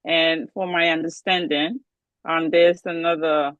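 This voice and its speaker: A woman's voice: deep voice, level tone, a little slow, no room sound. A woman from the Bronx, hosting an interview in a friendly, easygoing way with lots of fillers. The recording is dry and a bit dull and lo-fi. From the Bronx, New York. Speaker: interview host. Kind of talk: casual interview chat.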